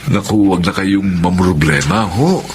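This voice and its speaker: A man's voice: sits low, lively intonation, measured pace, slight background noise. A man playing a grateful, courteous doctor in a Tagalog radio drama, speaking politely and reassuringly. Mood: warm, reassuring.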